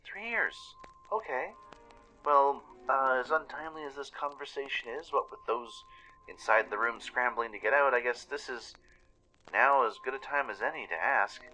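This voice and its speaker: A man's voice: mid-range voice, moderate pace, pushed and bright, faint music behind, pitched above the performer's own voice, rough recording. A man voicing an anxious young pony adventurer in a radio play, hesitant and flustered.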